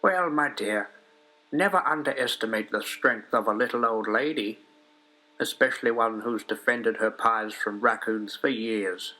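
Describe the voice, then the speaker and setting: A man's voice: fairly low pitch, a little slow, bright, forceful tone, faint music behind, low-quality recording. A man voicing a brave, spirited little old grandmother in a storybook tale. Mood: proud, amused. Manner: spirited, plucky.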